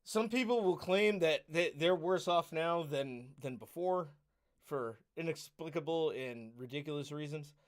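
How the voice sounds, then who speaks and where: A man's voice: on the high side, measured pace. A slightly drunk man hosting a podcast, crude and boisterous, introducing his co-host like a showman. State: a bit drunk. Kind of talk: casual chat.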